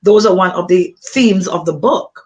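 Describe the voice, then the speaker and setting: A woman from Montserrat with a Montserratian accent, an author being interviewed, speaking thoughtfully with frequent pauses and ums. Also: fairly low pitch, a little mellow. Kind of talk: reflective conversation.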